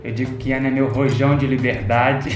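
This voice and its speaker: A man's voice: mid-range voice, level tone, a little fast. A man in his twenties from Minas Gerais, with a Mineiro accent tinged by the north of the state, introducing himself playfully as a guest. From Minas Gerais, Brazil. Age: 20s. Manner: playful, laughing. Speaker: history teacher, podcast guest. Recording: roomy sound from a distance, music bed.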